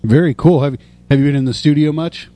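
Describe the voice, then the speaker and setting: Mellow-voiced male interviewer. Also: fairly high pitch, fairly quick. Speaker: interview host. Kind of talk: casual interview questions.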